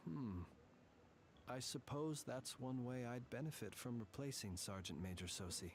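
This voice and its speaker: An actor playing a calm male soldier who speaks in a measured, solemn way. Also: medium pitch, a little hiss or hum, lightly acted.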